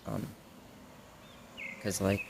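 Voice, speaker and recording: A young man playing a supportive best friend in an audio roleplay, talking casually and hesitantly in rambling, trailing phrases, on a noisy recording. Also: very slow. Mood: concerned, supportive. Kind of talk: audio roleplay addressed to the listener, casual improvised chat.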